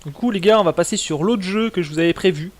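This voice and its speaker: A man's voice: high voice, quick pace. A man giving casual, slangy, mildly sweary commentary as a video game streamer. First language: French.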